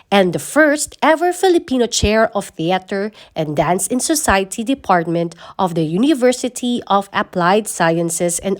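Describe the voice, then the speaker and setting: A woman giving a scripted, formal narration as host of the program. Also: mid-range voice. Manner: lively, formal read-aloud delivery.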